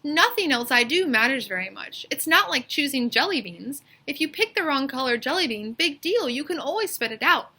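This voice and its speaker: A woman's voice: high voice, very animated, normal speed, few pauses, sharp tone, pitched above the performer's own voice, full character voice. A woman voicing an indecisive, earnest schoolboy in a children's storybook, with an emphatic, griping manner. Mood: agonized, unsure. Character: indecisive, earnest schoolboy agonizing over a big choice.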